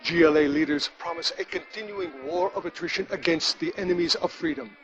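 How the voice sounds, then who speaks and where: Guy acting as a defiant war reporter guy, bit high pitched, solemn scripted news read, game cutscene. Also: a little fast, highs cut off, crowd noise, lightly acted. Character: partisan male war correspondent, solemn and defiant.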